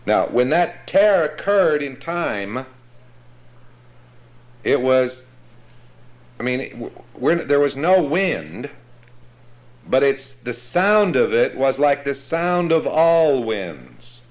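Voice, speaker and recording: Male preacher for a Bible class, unhurried and explanatory, mid-pitched. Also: very animated. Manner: slow, explanatory, checks understanding with the listeners. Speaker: Bible teacher.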